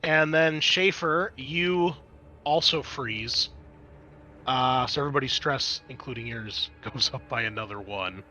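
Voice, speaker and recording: A man's voice: mid-range voice, measured pace, bright, forceful tone. A man narrating a tabletop roleplaying game as game master in a casual, conversational way, swearing freely.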